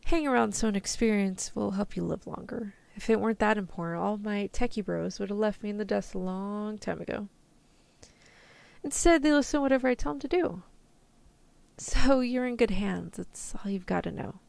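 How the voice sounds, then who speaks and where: A woman's voice: medium pitch, moderate pace, gravelly, darkish tone, no room sound, lightly acted. A woman playing a tough, protective, easygoing rescuer in an ASMR roleplay, talking in casual slang. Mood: breezy, reassuring. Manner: casual slangy reassurance, turning threatening.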